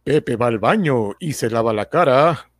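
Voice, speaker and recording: A man narrating scripted radio drama as a storyteller, in a deliberate announcer-like manner. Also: mid-range voice, lively intonation. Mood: measured. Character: measured male storyteller of an everyman's day.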